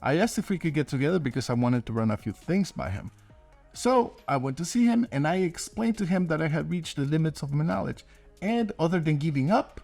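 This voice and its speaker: Man, fairly high voice, very animated intonation, scripted vlog narration, light music behind. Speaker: host and inventor. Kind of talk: scripted video narration to viewers.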